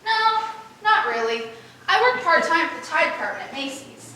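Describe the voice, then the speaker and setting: High, animated, chatty young actress played by a woman, echoey room. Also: normal speed, heavily acted. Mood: self-satisfied, chatty. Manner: bubbly, emphatic, self-assured chatter. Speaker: amateur stage actress in rehearsal. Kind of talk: stage play rehearsal, comedic dialogue. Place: echoey indoor rehearsal room. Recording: very echoey room, distant mic, faint noise.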